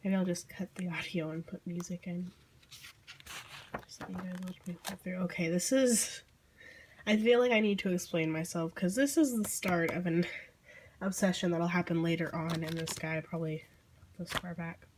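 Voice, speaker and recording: A teenage girl with a hoarse, croaky voice from being sick, casually and apologetically flipping through her sketchbook on camera. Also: fairly low pitch, fairly even intonation, normal speed, darkish tone, a little hiss or hum. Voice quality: croaky, losing voice. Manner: apologetic, rambling with fillers and small laughs. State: hoarse from illness. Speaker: vlogger. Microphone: handheld camera mic.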